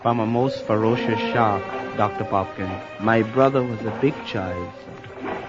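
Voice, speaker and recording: A man's voice: on the low side, unhurried, lightly acted. An actor playing a solemn, formal island boatman on a 1970s adventure record. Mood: grim, solemn. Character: grim, businesslike island boatman, bereaved brother. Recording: muffled old record under loud music.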